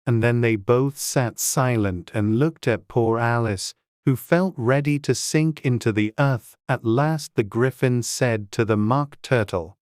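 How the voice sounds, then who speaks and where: A woman's voice: very deep voice, measured pace, few pauses, a little mellow, no room sound, clean studio sound. A woman reading scripted storybook narration as an audiobook narrator.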